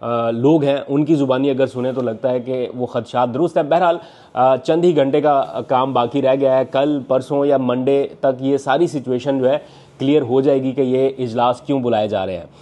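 Mellow-voiced young man vlogging. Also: medium pitch, fairly even intonation, normal speed. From Pakistan. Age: young adult. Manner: earnest analytical talk with frequent uh fillers and hand gestures. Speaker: political journalist. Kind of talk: political news vlog. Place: home living room, indoors. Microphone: lapel mic.

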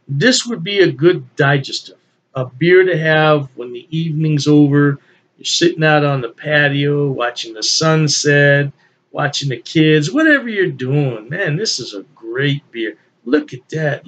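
A man in his sixties chatting casually to camera as he hosts a review, enthusiastic and emphatic, often repeating phrases for emphasis. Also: on the high side. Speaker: beer reviewer, channel host. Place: small indoor room at home.

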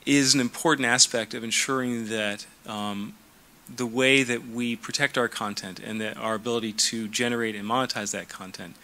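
Middle-aged male lawyer, bright voice, hesitant panel answers full of fillers. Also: mid-range voice. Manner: hesitant, many fillers and restarts. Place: conference room. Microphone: handheld mic.

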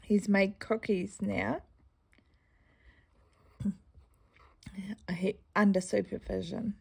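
A woman with a New Zealand accent chatting casually in a stitching vlog, rambling through a story and often clearing her croaky throat. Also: medium pitch, level tone, very slow, a little mellow. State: croaky morning voice. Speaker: cross-stitch vlogger.

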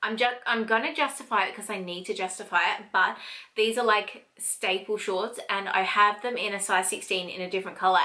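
Bright-voiced Australian woman chatting. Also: mid-range voice. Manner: gushing, rambling, self-justifying. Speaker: family vlogger. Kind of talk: casual chatty haul vlog. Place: bright home room indoors.

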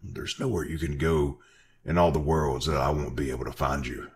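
A man's voice: rumbling low, normal speed, a little hiss or hum. A man doing a mocking masked villain. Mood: menacing. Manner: mocking, threatening.

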